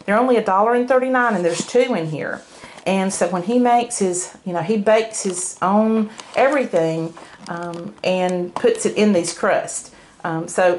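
An older woman chatting casually and explaining things as a homemaker vlogger, recorded at home behind a handheld camera. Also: medium pitch. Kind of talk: casual grocery haul chat. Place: indoors at home, by a dining table. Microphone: handheld camera, speaker behind it.

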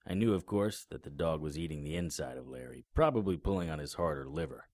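An actor in an audio drama playing a cynical, sardonic, hard-boiled private investigator with a terse delivery. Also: male voice, very deep voice, expressive, fast talker, a little mellow, no echo, studio quality. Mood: grim narration. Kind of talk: audio drama acting with noir narration.

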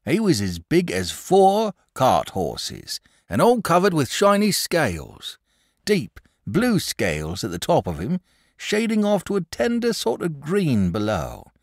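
Man playing a nervous rustic shepherd, middle-aged, rambling, wide swooping pitch, dry close sound. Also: measured pace, no room sound, full character voice. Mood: awed. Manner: rustic country speech, excitable.

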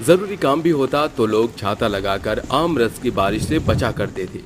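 A man's voice: medium pitch, lively intonation, normal speed. A man giving scripted, dramatic narration as the storyteller of a tale.

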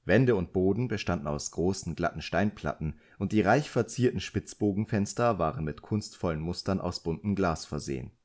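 A man with a low-pitched voice narrates an audiobook. He reads scripted literary prose as a storyteller. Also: a little mellow.

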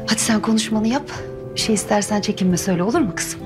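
A middle-aged actress playing a bustling, hospitable island aunt with chatty, homely talk in a TV drama. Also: female voice, mid-range voice, quick pace, close to an everyday voice. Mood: wistful, gentle. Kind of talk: naturalistic TV drama acting. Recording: music under the dialogue.

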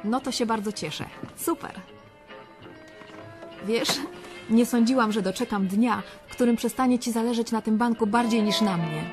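An actress playing an affectionate, gently reproachful partner in a radio drama, intimate and pointed. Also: female voice, a little high, moderate pace, soft background music, lightly acted. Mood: pleased, pointed.

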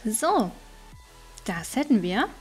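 A woman's voice: a little high, very animated, slow, husky, faint music behind. A woman giving casual let's play commentary, chatty and thinking aloud as she goes. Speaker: gaming streamer and let's play host.

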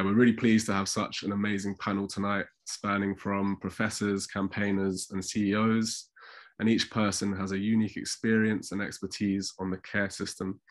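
Low-pitched man with a cold. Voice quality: nasal, congested. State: bunged up with a cold. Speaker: panel chair. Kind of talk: introducing an online panel discussion. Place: indoors at home on a video call. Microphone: video call microphone.

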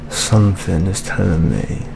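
A young man playing a brooding British police detective in an ASMR roleplay, with an English accent and a breathy, half-whispered, musing delivery. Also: sits low, full character voice. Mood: suspicious, musing. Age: young adult. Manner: slow musing, repeating himself, colloquial. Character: brooding, gruff British male police detective at crime scene. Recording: clear steady background noise.

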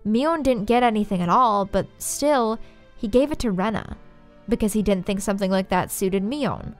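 A woman's voice: medium pitch, moderate pace. A young woman narrating a scripted story recap in a sardonic, informal, swearing style. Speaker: video essayist, narrator. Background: faint music.

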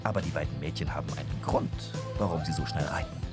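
A man narrating a children's audio drama from a script in an expressive storytelling manner. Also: rumbling low, fast, loud music behind the voice.

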